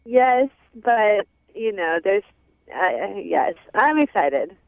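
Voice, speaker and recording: A woman's voice: medium pitch, sing-song, takes it slowly, dry recording. A young adult woman chatting casually as a phone-in radio guest, sassy, candid and wry. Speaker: phone-in guest on a dating segment. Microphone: telephone. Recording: thin phone line.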